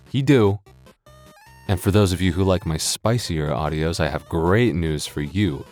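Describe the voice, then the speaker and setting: A man's voice: low voice, darkish tone. An American man chatting casually to his listeners as an audio creator, rambling and joking with exaggerated comic exasperation. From United States. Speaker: audio roleplay creator and voice actor.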